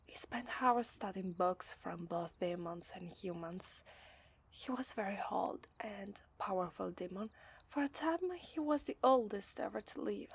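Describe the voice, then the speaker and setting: A woman's voice: mid-range voice, expressive, measured pace, vocal fry, breathy edge, exaggerated voice. A woman playing a shy demon princess bride, Italian accent. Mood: wistful. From Italy. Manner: gentle and soft-spoken, often hushed to a whisper, with nervous hesitant restarts. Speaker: voice actress. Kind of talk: ASMR roleplay.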